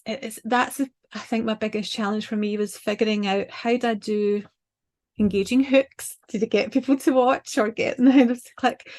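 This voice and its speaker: An older woman with a Scottish accent, casually giving advice over a video call from a small home office, chatty and full of restarts. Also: medium pitch. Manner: chatty, talks with her hands, many ums and restarts. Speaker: branding designer and show host.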